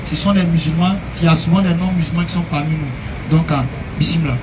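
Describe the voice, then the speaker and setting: A man's voice: on the high side, brisk, noisy background. A man introducing speakers at an outdoor religious gathering and giving short affirmations. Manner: brief introductions and steady backchannel. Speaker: host of outreach gathering.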